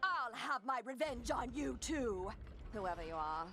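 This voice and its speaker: An actress playing a bitter, scornful villainess in a video game, melodramatic and indignant. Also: female voice, fairly high pitch, big pitch swings, unhurried, over music. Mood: vengeful. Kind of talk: theatrical video game voice acting.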